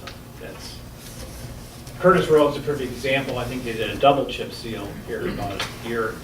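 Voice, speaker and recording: A man's voice: medium pitch, normal speed. A man chairing a township board meeting, keeping it brief and calling on the public for comment. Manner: brief, easygoing, calls on speakers. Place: township hall meeting room. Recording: echoey room from a far mic, noisy.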